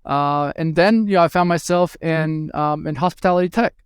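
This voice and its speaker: Smooth-voiced man from Venezuela. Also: fairly high pitch, normal speed, no echo, clean studio sound. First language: Spanish. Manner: hesitant, many fillers and restarts. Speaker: guest, hospitality tech executive. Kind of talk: conversational interview answers.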